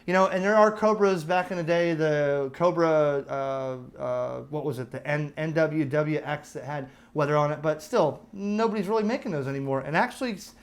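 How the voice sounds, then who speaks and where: A man's voice: on the high side, moderate pace, hardly any pauses. An American man giving a casual, chatty product review, explaining features step by step in an informal way. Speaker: host of a radio review channel.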